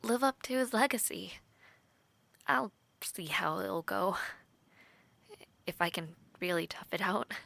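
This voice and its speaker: A woman voicing an earnest, affectionate young knight trainee in an intimate audio roleplay, talking softly, often half-whispered, with light laughs and hesitant restarts. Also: medium pitch, a little slow, vocal fry, long pauses, dry recording. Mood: wistful.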